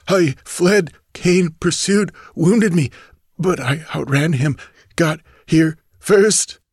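A man voicing a wounded, dying male bandit who mutters slowly and strains to speak. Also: fairly high pitch, breathy edge, dry recording, studio quality, voice pushed up.

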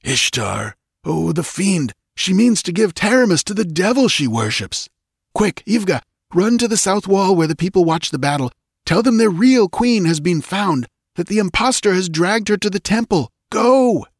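A man's voice: sits high, big pitch swings, moderate pace, breathy edge, no echo, studio quality, voice pushed up, full character voice. A man playing an urgent young warrior. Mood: commanding.

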